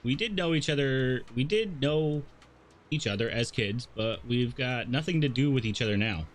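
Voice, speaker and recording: A man's voice: mid-range voice, lively intonation, normal speed, lightly acted. A man voicing an easygoing, sly young game character with a casual, knowing manner. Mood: sly, explanatory.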